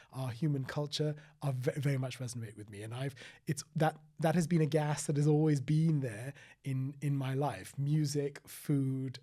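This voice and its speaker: A middle-aged man from Sri Lanka, talking reflectively as an interview guest, with frequent restarts and soft trailing phrases. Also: medium pitch. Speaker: guest, former paediatrician. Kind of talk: conversational interview.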